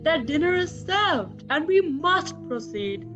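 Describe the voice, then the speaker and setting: A woman playing a formal, deferential servant of a spooky castle in a student radio play, speaking in a measured, halting way. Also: high-pitched, very animated, full character voice. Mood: ominously deferential. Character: formal spooky-castle servant, reminiscing about kindly mistress. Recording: dull lo-fi recording with prominent music.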